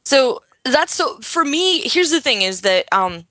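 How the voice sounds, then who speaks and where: A woman's voice: sits high, sing-song, measured pace, pushed and bright, no room sound, clean studio sound. An American woman co-hosting a casual tech podcast, explaining her views at length. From United States. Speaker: podcast co-host.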